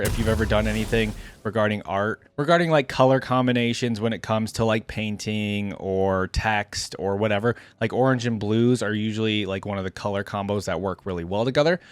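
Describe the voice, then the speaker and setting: A man giving casual reaction commentary as a video host, musing aloud in a rambling way. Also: mid-range voice.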